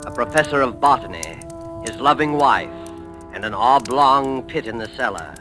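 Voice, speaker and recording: A man's voice: mid-range voice, expressive, moderate pace, poor audio. A man announcing a suspense drama in dramatic scripted 1940s radio style. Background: music.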